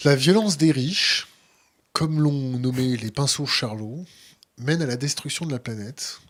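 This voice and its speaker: Man, medium pitch, interviewer, informal, halting, question-reading delivery. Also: a bit bright.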